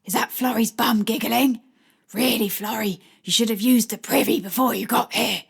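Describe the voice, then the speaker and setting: A woman's voice: on the high side, moderate pace, vocal fry, slightly rough, full character voice. A woman voicing a playful talking toy dog with a silly, teasing, barking manner in a storybook. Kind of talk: audiobook character voice.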